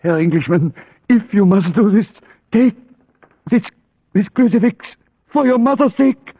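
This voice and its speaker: A man's voice: high voice, lively intonation, measured pace, breathy edge, heavily acted. A man playing a frightened, superstitious traveller with urgent, pleading speech in an old-time radio play. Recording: reverberant, thin, phone-like vintage radio recording.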